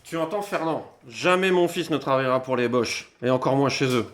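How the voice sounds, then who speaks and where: A man's voice: medium pitch, brisk, close to an everyday voice. A man playing a proud, stubborn, patriotic peasant father in an audio drama. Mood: defiant. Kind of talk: French audio drama acting.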